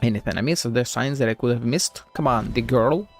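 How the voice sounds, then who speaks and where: Man, game streamer, casual commentary, mid-pitched voice, rambling, faint music behind. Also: brisk. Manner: musing, rambling, swears casually. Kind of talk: casual live game commentary, reading dialogue aloud.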